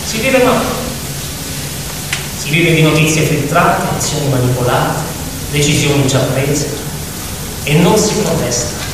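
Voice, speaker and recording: A man's voice: a little high, animated, measured pace, long pauses. A man narrating a story as scripted drama, theatrical, in short emphatic phrases. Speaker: narrator of a radio play. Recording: very echoey hall, distant, noisy.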